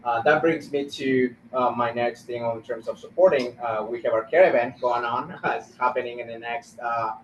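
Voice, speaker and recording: A man speaking informally on a webinar as a community organizer, halting and searching for words. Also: medium pitch. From Guatemala. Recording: roomy, distant, muffled webinar audio with noise.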